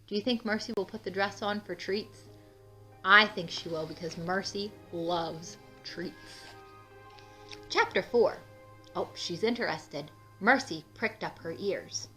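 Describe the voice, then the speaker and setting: A woman's voice: mid-range voice, unhurried, low-quality recording. A woman narrating a children's book expressively and putting questions straight to young listeners. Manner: expressive, addresses children directly. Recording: music under the voice. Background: music.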